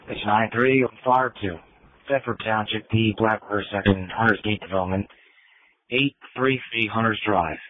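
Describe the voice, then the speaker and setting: A man's voice: on the low side, steady tone, moderate pace, background noise. A man working as an emergency dispatcher, reading fire and EMS calls over a two-way radio in clipped, formal phrasing. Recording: phone-like fire radio transmission.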